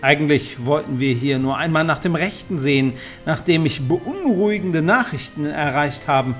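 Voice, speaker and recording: A man playing an authoritative, formal reeve in a fantasy audio drama, speaking deliberately and with command. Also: a little high, expressive, faint music behind. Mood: formal, measured. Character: commanding male reeve on horseback, brisk and authoritative.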